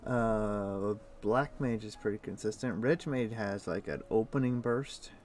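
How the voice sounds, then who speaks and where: A man's voice: lowish voice, a little slow, a little hiss or hum. A man streaming a video game, chatting casually and thinking aloud with lots of fillers. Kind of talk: casual gameplay chat. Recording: faint music in the background. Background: soft game music.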